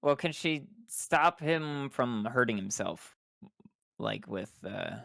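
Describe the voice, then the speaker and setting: A man with a creaky voice talks casually as a tabletop game player. He hesitates and thinks aloud, and the sound is dry. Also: medium pitch, lively intonation, slow, fairly bright tone, dry recording. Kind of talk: casual chat.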